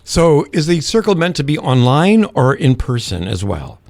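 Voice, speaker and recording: A man's voice: a little high, big pitch swings, moderate pace, gravelly, a little mellow, dry recording. A man hosting a radio morning show, talking casually and interviewing a guest in a studio.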